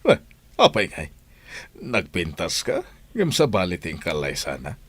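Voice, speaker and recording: A hearty older village father from a radio drama. His deep voice swings widely as he questions and exclaims, inquisitive and fatherly. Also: huge pitch swings, measured pace, a bit bright, slightly rough, no echo, full character voice. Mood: curious, concerned.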